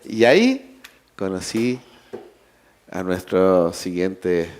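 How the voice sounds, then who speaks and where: A man hosting a conference, introducing the next speaker in a halting, friendly way. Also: low voice, sing-song, darkish tone. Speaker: conference host. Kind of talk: live conference emcee.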